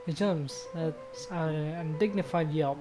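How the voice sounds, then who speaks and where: A woman's voice: deep voice, a little slow, over music. A woman streamer reading visual novel narration aloud, now and then stumbling and rereading phrases.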